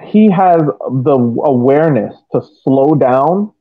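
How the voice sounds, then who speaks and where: Thin, phone-like audio carries a man with a slightly high voice. He co-hosts a soccer podcast, picking apart play analytically and in a casual, slow delivery. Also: animated. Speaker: sports podcast co-host.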